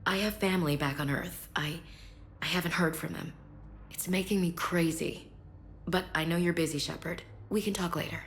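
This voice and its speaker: A woman's voice: fairly low pitch, fairly even intonation, moderate pace, a bit bright, slight background noise. A woman playing a guarded, frank soldier with a wry streak in a video game. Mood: subdued, troubled.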